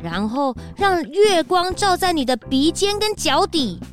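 A woman playing an excitable, childlike young detective's sidekick in a children's audio drama, eager and full of cute exclamations. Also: very high-pitched, unhurried, soft background music, exaggerated voice. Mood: eager, excited.

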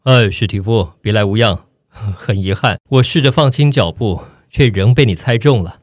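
A man's voice: lowish voice, moderate pace, no room sound, studio quality, close to an everyday voice. A man voicing male friends from a translated foreign drama, in theatrical dubbing style. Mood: stilted, genial.

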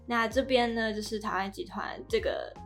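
A woman's voice: fairly high pitch, fairly even intonation, normal speed, a bit bright, faint music behind. A woman with a Taiwanese accent presenting a podcast in a conversational style as co-host.

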